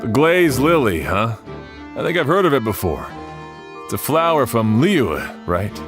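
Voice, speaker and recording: A man's voice: mid-range voice, very animated, measured pace. A man voicing a busy, earnest official in a video game, plainspoken and candid. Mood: curious. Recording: game audio with faint music.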